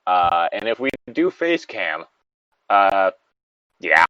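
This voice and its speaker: A man's voice: sits low, big pitch swings, slow, pushed and bright, smooth, no echo, highs cut off. A man giving casual, rambling and blunt commentary on a story, with frequent swearing. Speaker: podcast host reading and commenting on a story.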